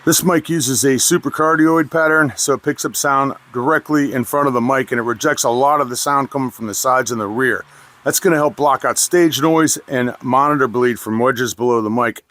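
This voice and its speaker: A man's voice: medium pitch, a bit bright. A middle-aged man with a nasal voice, giving a plain, friendly product review.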